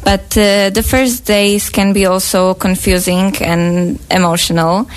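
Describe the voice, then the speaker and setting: A woman hosts an informal group talk at a very unhurried pace. She asks her questions gently, with small pauses and fillers. Her pitch sits in an ordinary middle range. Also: little pitch movement, poor audio. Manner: slow, gentle, hesitant questions. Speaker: interviewer. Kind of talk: hosting a student group chat.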